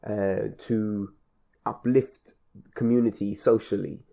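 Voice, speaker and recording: A man speaking reflectively as an interviewer, in measured, formal wording with hesitant pauses. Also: lowish voice, steady tone, creaky, mellow.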